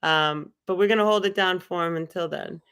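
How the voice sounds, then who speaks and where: Young woman rambling on her livestream, smooth easy voice, lots of likes and ums. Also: lowish voice, fairly quick, a bit bright. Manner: rambling, musing, spiritual talk with filler words. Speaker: livestream host. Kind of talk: casual livestream chat, reading viewer comments.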